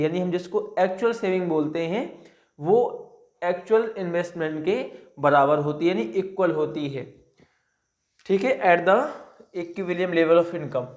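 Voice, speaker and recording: A man teaching a commerce lesson. He reads out definitions slowly, then explains them step by step and speaks straight to his students. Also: high-pitched, a little mellow, roomy sound. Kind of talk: classroom lecture.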